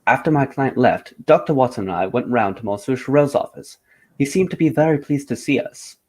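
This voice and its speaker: Man playing gentleman detective, radio drama, formal, measured, courteous, composed, medium-pitched voice. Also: animated, slightly rough.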